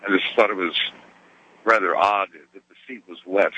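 A man, a truck driver, calling in to a radio show and telling a story in a genteel, lively way, with little laughs. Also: low-pitched, moderate pace, husky, lo-fi sound. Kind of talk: telling a story on a phone call-in.

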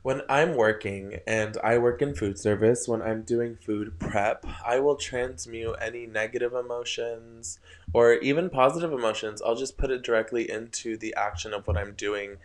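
A young man whose first language is Spanish hosts a casual solo podcast late at night, rambling about spiritual ideas and often tripping over his words. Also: mid-range voice. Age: young adult. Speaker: podcast host.

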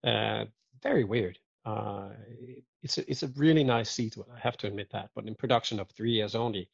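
A man with a gravelly, somewhat husky voice chats loosely on a podcast. He jokes and tells stories with lively energy. The recording is dry, dull and lo-fi. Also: lowish voice, normal speed, no echo. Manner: joking, lively, chatty storyteller. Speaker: podcast guest. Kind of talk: casual chat. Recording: dull lo-fi audio.

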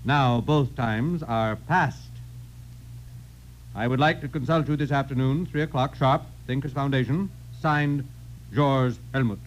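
Casting: male actor as commanding, formal foundation director, mid-pitched, curt, vintage radio drama with faint hum. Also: unhurried, lightly acted. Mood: brisk, commanding. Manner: clipped, commanding, formal dictation. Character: brusque, self-important male head of a scientific foundation. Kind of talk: 1950s radio drama acting. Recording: dull, humming vintage radio broadcast recording.

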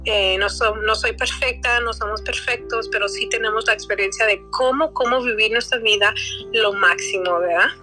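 A woman in her forties from Texas, a guest talking about her life in a halting way, with many fillers and restarts. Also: on the high side, steady tone, over music. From McAllen, Texas, USA. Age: 40s. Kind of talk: casual interview talk.

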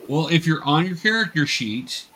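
A man's voice: a little high, lively intonation, normal speed, bright, forceful tone, a little hiss or hum, rough recording. A man acting as game master, casually narrating and patiently explaining the game to new players in an encouraging way.

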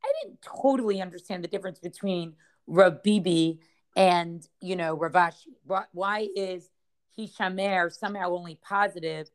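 A woman's voice: lowish voice, normal speed. Woman teaching a text, thinking aloud. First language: English. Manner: thinking aloud, frequent "right?" and restarts. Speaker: Talmud study teacher. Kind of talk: explaining a text passage.

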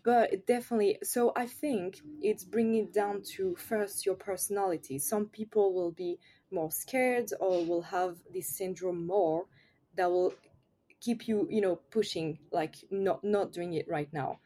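A woman's voice: medium pitch, measured pace, warm tone. A French woman with a French accent, a yoga teacher chatting openly as a podcast guest, with many "you know"s. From France. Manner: chatty, open, frequent fillers like "you know". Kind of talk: casual podcast interview.

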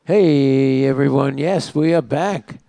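A man hosting a community radio talk show, chatting in a halting, easygoing way. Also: medium pitch, creaky, warm tone, faint music behind. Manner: halting, restarts, laughs easily. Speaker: host. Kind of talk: casual radio chat.

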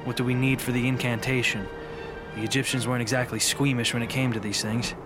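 An actor playing a wry, stubborn, guarded mortal hero in a radio drama. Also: male voice, mid-range voice, little pitch movement, quick pace, over music, natural voice. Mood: suspicious, resolute.

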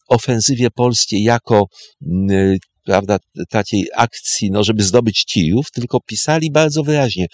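A man explaining history off the cuff as a historian, emphatic and halting, often correcting himself and repeating phrases. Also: mid-range voice, dry recording, clean studio sound. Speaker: historian, interview guest. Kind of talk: spoken historical explanation, unscripted.

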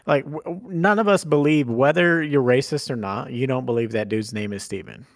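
A man's voice: medium pitch, a little fast, gravelly, no room sound, studio quality. An American man with a blunt, sweary manner, chatting casually as a podcast host. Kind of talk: casual chat.

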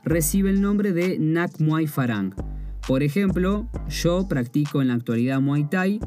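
A young man from Argentina with an Argentine Spanish accent, calmly explaining a martial art and restating points with asides. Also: a little high. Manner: measured, explains terms with "o sea" asides. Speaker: martial arts practitioner presenting. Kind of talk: explanatory presentation. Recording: faint guitar music behind.

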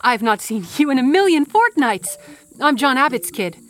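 A woman's voice: high-pitched, sing-song, normal speed. An actress playing a flippant, irreverent modern witch in a comic audio drama, casual and chatty. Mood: chummy. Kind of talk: audio theatre comedy acting.

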